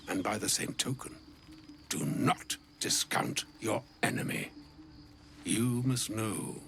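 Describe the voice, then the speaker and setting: A man's voice: mid-range voice, creaky, a bit bright, exaggerated voice. An actor playing an ancient, gluttonous wizard in a video game, an old man with a florid, pompous, theatrical way of talking. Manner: pompous, florid, wordy, halting when uneasy. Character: ancient, gluttonous, grandiloquent old wizard, grave yet fussy.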